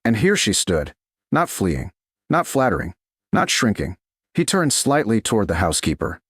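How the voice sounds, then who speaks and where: A man giving measured, dramatic scripted narration of a storybook romance. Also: on the low side, very animated, a bit bright, breathy edge, dry recording, studio quality.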